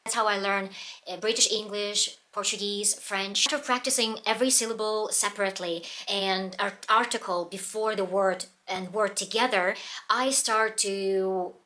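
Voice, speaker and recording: A woman with a Russian accent, a native Russian speaker, talking casually about how she trains her pronunciation, pausing often to find her words. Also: mid-range voice, fairly even intonation, pushed and bright. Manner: earnest, pauses to search for words. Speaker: language learning vlogger.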